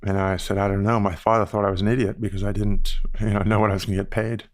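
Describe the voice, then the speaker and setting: A man in his sixties from the Bahamas, telling stories about his career in a measured, reflective way, as an interview guest. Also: on the low side, flat delivery. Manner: measured, reflective, with frequent "you know" asides. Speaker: guest, investment firm founder. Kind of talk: relaxed storytelling interview answers.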